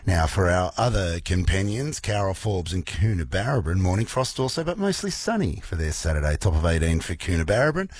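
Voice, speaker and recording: A male Australian radio presenter with a croaky morning voice, chatting casually and playfully with listeners between weather and songs. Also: low voice, sing-song, a little fast, hardly any pauses, no echo. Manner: chatty, playful, rambling asides. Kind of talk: casual breakfast radio chat. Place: radio studio.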